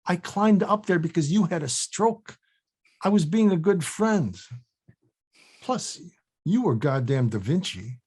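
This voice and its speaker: A male actor plays a defensive, profane older man in a comedy read. The voice is husky and very animated in pitch, grumbling and blunt in a natural way. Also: a little slow, full character voice. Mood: defensive, exasperated. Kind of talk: table read of a comedy script.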